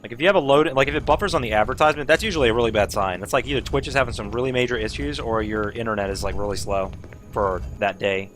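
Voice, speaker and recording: A man's voice: mid-range voice, quick pace, fairly bright tone, faint music behind. A man casually commenting on his own speedrun and chatting with viewers, swearing now and then when frustrated. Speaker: speedrun streamer.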